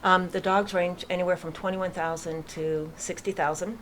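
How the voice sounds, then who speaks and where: Low-voiced Boston woman in her sixties. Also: fairly even intonation, normal speed, a bit bright, a little hiss or hum. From Massachusetts, USA. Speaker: guest, charity founder. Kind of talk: studio interview conversation. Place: local radio studio.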